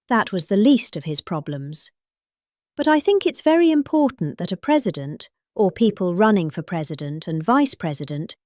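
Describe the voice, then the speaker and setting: A woman's voice: medium pitch, darkish tone, no echo, studio quality. A middle-aged woman with a British accent giving a comic dramatic reading of a rambling speech, with exaggerated emphasis. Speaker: performer reading a political speech.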